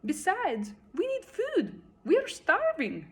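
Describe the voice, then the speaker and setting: A woman's voice: very high-pitched, huge pitch swings, takes it slowly, voice pushed up, full character voice. A woman voicing a meek little male turtle in a storybook read-aloud, politely pleading and groaning in complaint. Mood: plaintive, pained.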